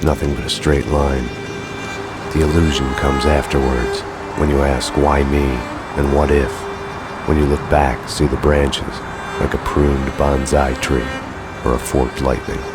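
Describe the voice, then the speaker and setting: An actor playing a brooding, world-weary noir man who narrates his own downfall in a terse, fatalistic deadpan in a video game. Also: rumbling low, unhurried. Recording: loud music over the voice.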